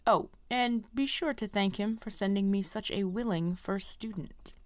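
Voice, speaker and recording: A woman voicing a grave, wary conjurer teacher from game quest dialogue in a formal, measured way. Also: medium pitch, natural voice. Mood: solemn, concerned.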